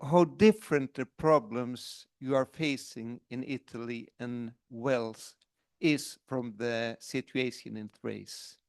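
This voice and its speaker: A man making explanatory comments on a conference panel in a measured way. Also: mid-range voice, dry recording.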